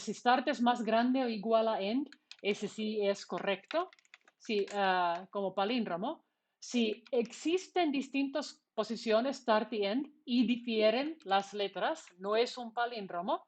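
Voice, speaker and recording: Woman teaching coding. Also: a little high. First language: Finnish. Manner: explains step by step, asking and answering her own questions. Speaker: university lecturer. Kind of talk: online programming lecture.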